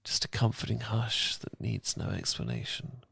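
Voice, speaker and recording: A British man performing soothing, intimate ASMR as a loving boyfriend, speaking softly and gently, at times near a whisper. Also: on the low side, no room sound. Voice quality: soft, breathy, gravelly, partly whispered. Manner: gentle, calming, intimate, unhurried. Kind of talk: romantic ASMR, soothing guided meditation. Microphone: close, dry ASMR miking.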